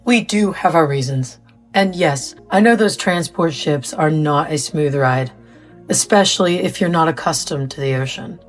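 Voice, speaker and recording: An authoritative female scientist played by an actress briefs in a formal, measured manner for an audio drama. Her voice is on the low side, with faint music underneath. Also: natural voice. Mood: formal, composed. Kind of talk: scripted audio drama dialogue.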